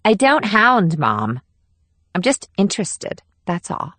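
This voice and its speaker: A woman's voice: medium pitch, sing-song, slow pace, a bit bright, no room sound, exaggerated voice. A woman playing an eager, pouty teenage girl in audiobook dialogue. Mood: defensive.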